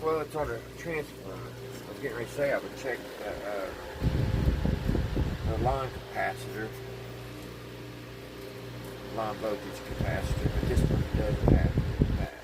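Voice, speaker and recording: A man casually narrating hands-on repair work to his viewers, thinking aloud in a folksy way. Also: lowish voice. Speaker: host of a radio repair channel. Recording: loud steady background hum and room noise.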